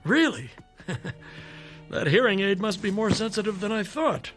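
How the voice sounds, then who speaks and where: Calm, high-voiced kindly family doctor. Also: male voice, a little slow, faint music behind, heavily acted. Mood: genial, teasing. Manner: soothing, lightly teasing bedside manner. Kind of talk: modern radio drama acting.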